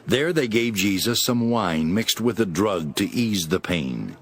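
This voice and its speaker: A man narrating a dramatized Bible as expressive, scripted storytelling. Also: lowish voice, measured pace. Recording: music behind.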